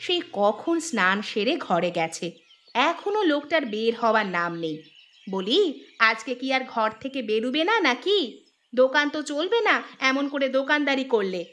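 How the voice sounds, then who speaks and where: A woman's voice: high voice, expressive, normal speed, a bit bright. A woman voicing a sarcastic, scolding village shopkeeper's wife in a cartoon story. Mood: impatient. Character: nagging, sharp-tongued village shopkeeper's wife. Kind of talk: Bengali cartoon story dialogue. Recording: clear music bed behind voice.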